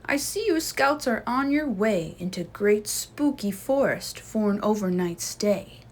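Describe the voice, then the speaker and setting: Storybook papa bear read by a woman, sly and blustery, slow dramatic delivery. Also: mid-range voice, animated. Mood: blustering. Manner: dramatic, drawn-out warnings. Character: sly, blustering father bear warning of spooks.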